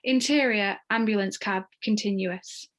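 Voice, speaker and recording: A woman's voice: mid-range voice, a little slow. A woman reading stage directions as scripted narration for a table read.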